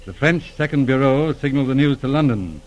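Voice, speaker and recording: A man's voice: mid-range voice, expressive, a little fast, darkish tone, natural voice. An actor playing a wry, discreet male police inspector in a 1940s radio drama, dry and understated. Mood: measured, knowing. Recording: noisy old radio recording.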